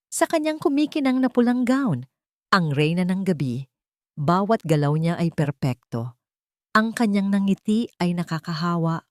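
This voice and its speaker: A woman with a Filipino accent narrating a scripted dramatic story in measured, dramatic phrases. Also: on the low side, no room sound, studio quality.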